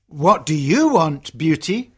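Lady doing a kindly middle aged dad from a storybook, really slow n deliberate, swoopy expressive pitch, earnest. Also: husky, full character voice. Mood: gentle. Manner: deliberate, emphatic storybook delivery. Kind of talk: children's storybook character reading.

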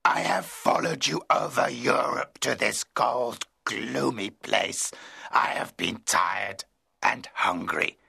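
A man voicing a huge, bitter male monster in an audiobook, speaking slowly and menacingly with a rough, raspy voice. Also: mid-range voice, sharp tone, voice pushed up, exaggerated voice. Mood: pleading. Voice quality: gravelly raspy rasp. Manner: slow, heavy, threatening delivery. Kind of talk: audiobook reading.

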